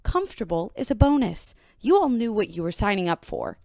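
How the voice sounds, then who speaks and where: Exasperated ship engineer for space radio show, sarcastic, lively pitch, dry sound. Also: female voice, brisk, gravelly, dry recording. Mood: stern, sarcastic. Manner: scolding, sarcastic. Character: stern, exasperated female chief engineer of a starship. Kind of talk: sci-fi radio drama acting.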